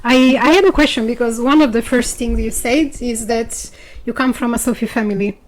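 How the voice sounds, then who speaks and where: A woman co-hosting a radio interview, with a somewhat high voice. She asks long, curious questions and circles back as she phrases them. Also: fairly even intonation, moderate pace, low-quality recording. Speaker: radio co-host.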